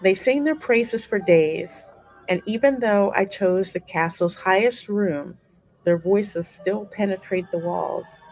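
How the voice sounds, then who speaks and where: A woman reading a story game aloud as a streamer, with expressive delivery and chatty asides. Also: medium pitch, takes it slowly, low-quality recording. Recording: music running behind the voice.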